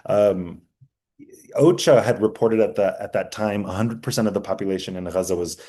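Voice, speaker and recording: Earnest low-voiced man lecturing. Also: dark tone. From United States. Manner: earnest, halting, many fillers and restarts. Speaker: journalism instructor. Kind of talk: class lecture with slides.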